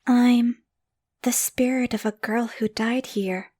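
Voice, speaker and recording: A woman playing the ghost of a dead girl in a game dub, cryptic and coldly taunting, speaking in a measured, ominous, breathy whisper. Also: fairly high pitch, fairly even intonation, a little mellow. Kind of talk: dramatic video game dub acting. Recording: background music under the voice.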